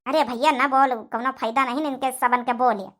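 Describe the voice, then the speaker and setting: A woman's voice: high voice, steady tone, fairly quick, a bit bright. A woman voicing an aggrieved, weary old village mother-in-law in a comedy cartoon, plaintive, with an Awadhi accent. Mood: resigned. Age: older. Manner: complaining, plaintive. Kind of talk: comedy cartoon family drama.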